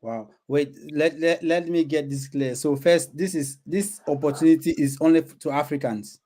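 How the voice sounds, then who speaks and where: A man's voice: on the high side, fairly even intonation, moderate pace, mellow. A man hosting his own channel, hesitant and filler-heavy as he introduces an online interview. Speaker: channel host.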